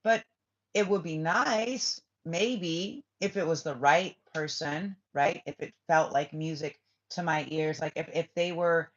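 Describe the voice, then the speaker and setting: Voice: medium pitch, fairly bright tone. A spiritual reader hosting a live stream, chatting casually to viewers and answering their comments. They ramble, restart sentences and swear bluntly. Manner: trails off and restarts often. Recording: dull lo-fi stream audio.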